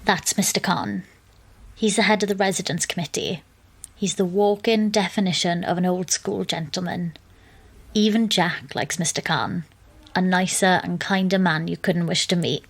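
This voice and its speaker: A woman's voice: on the low side, moderate pace, breathy edge. An actress playing an exasperated young woman who narrates an audio drama in a wry, sardonic manner.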